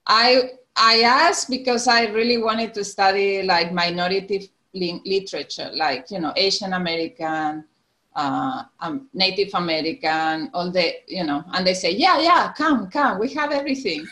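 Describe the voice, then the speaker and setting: Spanish-accented woman, laughing and animated. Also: medium pitch, normal speed. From Spain, Canary Islands. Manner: laughs often, restarts sentences, animated retellings. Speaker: podcast guest. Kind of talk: casual interview conversation.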